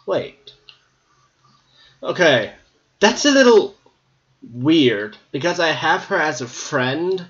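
A man giving casual, distracted commentary while playing a game, laughing often and talking to himself, his voice a bit hoarse. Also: fairly high pitch, big pitch swings, slow pace, pauses often, fairly bright tone, muffled recording. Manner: giggly, distracted, talks to himself. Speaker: gaming video creator.

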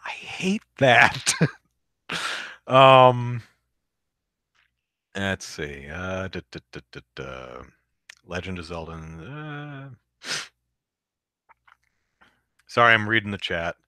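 Deep-voiced man chatting casually. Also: big pitch swings, extremely slow, pauses often, bright, forceful tone, no room sound, clean studio sound. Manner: rambling, lots of fillers and restarts. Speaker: podcast host reading chat. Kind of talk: casual live-stream chat.

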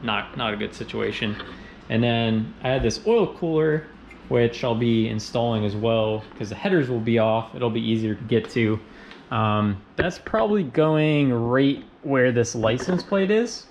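A young man talks casually in a home garage, recorded on a nearby action camera. His voice sits at an average pitch, and his loose, rambling delivery is full of ums. A faint hum of room noise sits under him. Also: unhurried, dull audio. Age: young adult. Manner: relaxed and informal, many ums, talks shop in loose run-on lists. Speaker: car channel host. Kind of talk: casual garage walkthrough vlog.

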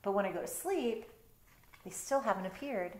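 A middle-aged woman reads a picture book aloud at an average pitch for a woman. She breaks off often for chatty, explanatory asides in a conversational way. The sound is roomy, with clear indoor echo around her voice. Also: expressive, normal speed, darkish tone. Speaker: storytime reader, teacher. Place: bedroom at home.

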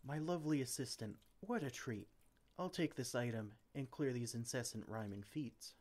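A man's voice: medium pitch, moderate pace, a little mellow, slight background noise, close to an everyday voice. A man playing a flustered, well-meaning potion-brewing mage in an ASMR roleplay, theatrical and exclamatory. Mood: pleading, reassuring. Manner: theatrical, exclamatory, speaks to a silent assistant.

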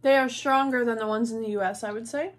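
A woman's voice: fairly high pitch, steady tone, fast. A woman in her thirties from the United States, chatting enthusiastically as she tastes and reviews snacks with a co-host, talking between bites. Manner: chatty, enthusiastic, talks while eating. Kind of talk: casual snack tasting chat. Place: indoor room before a glitter backdrop.

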